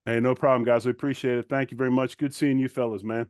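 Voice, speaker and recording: A man's voice: medium pitch, level tone, fast, a little mellow, clear, resonant tone, dry recording, clean studio sound. A man chatting casually as a guest on a sports podcast. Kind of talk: casual chat.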